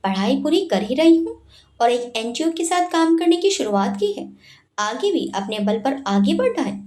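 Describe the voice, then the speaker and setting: A woman voicing a quietly self-assured young woman in a storybook, speaking in a soft, confident way. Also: high voice, sing-song, moderate pace, roomy sound, a little hiss or hum.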